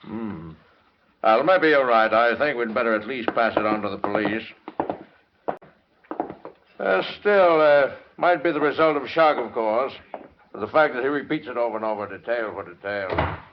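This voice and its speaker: A man plays a calm doctor in an old radio drama, with a mid-pitched voice. He is deliberate and matter-of-fact, with slight hesitations. The recording is dull. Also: slight background noise, close to an everyday voice. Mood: pondering. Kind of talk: old-time radio acting.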